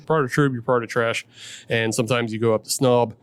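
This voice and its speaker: A man hosting a casual podcast interview, laid-back and quick to laugh, with casual swearing. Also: mid-range voice, fairly even intonation, clean tone, dry recording. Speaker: podcast host.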